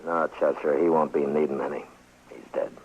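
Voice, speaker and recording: A grave, weary frontier marshal in a radio western. His American voice is extremely deep and measured, on a muffled vintage recording with a faint layer of noise. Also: fairly even intonation. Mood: grim. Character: stoic, weary frontier marshal, big steady lawman. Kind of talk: old-time radio western acting.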